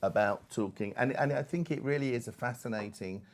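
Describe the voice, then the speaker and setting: A middle-aged man speaking as a panel expert in a conversational, discursive way, halting and then stressing his points. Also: mid-range voice.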